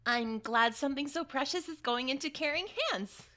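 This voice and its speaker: A woman in an audio drama playing an earnest, excitable, kindhearted young female spirit companion, perky and informal. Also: sits high, moderate pace. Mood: sweet, polite.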